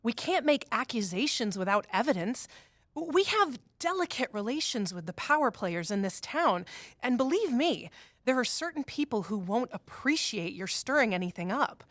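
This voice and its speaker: A woman's voice: a little high, big pitch swings, normal speed, fairly bright tone, dry recording. An actress playing a stern, guarded woman office boss in a scripted audio drama, curt, reproving and authoritative. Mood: firm, cautioning.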